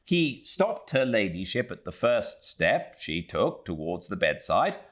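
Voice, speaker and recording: British male audiobook narrator, very deep register, animated pitch movement, measured and dramatic delivery of classic fiction. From Britain.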